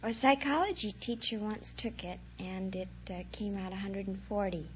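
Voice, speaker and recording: A woman's voice: mid-range voice, normal speed. An American woman answering a host's questions as a contestant on a quiz show. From United States. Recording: muffled 1950s radio recording, faint noise.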